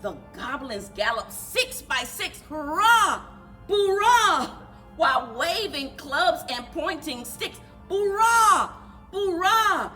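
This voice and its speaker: A woman reading a children's storybook aloud in an animated, rhythmic singsong for a library story time. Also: very high-pitched, slow, faint music behind. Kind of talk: children's storybook read-aloud with chanted refrains.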